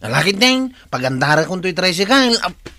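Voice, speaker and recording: A man's voice: high-pitched, sing-song, normal speed, noisy background. A man playing an impatient nephew who nags and insists, in a comedy drama. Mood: impatient, nagging. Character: impatient nephew nagging his smitten uncle.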